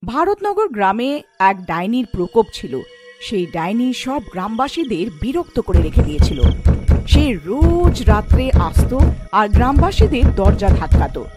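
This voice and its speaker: A woman's voice: fairly high pitch, big pitch swings, moderate pace, over loud music. A woman narrating a scripted fairy tale as storyteller.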